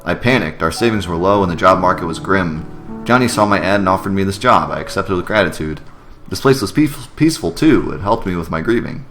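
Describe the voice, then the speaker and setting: A man voicing a gentle, resigned widowed mother who works as a caretaker in a video game. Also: low-pitched, animated, a little fast. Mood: resigned, reflective. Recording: music behind the voice.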